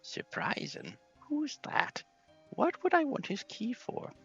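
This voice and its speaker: A dotty old game wizard, befuddled and pompous. The pitch leaps up high and drops back, loud and full of swoops. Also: male voice, huge pitch swings, slow pace, lo-fi sound, soft background music, exaggerated voice. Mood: indignant, befuddled. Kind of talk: game dialogue read in character.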